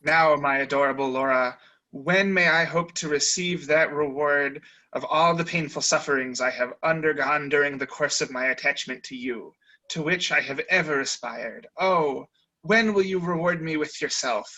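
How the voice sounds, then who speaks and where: A man's voice: a little high, normal speed, sharp tone, rough recording. A man reading the part of a proud, rebellious young man of feeling, speaking with grand defiance. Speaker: reader in a dramatic reading.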